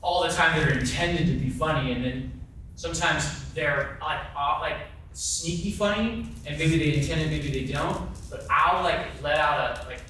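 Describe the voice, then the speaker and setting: Bright-voiced man in an echoey room. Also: fairly high pitch, moderate pace. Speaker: twelve-step meeting member asking a question. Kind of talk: casual group discussion. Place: large echoey indoor convention room. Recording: echoey room, distant mic, faint noise.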